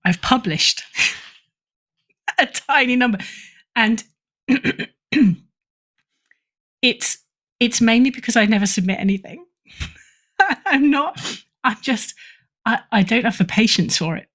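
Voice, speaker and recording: A woman's voice: a little high, expressive, slow, pauses often, a bit bright. A middle-aged woman talking casually as an interview guest, chatty and self-deprecating. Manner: self-deprecating, chatty, chuckles through remarks. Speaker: podcast guest, writer and editor.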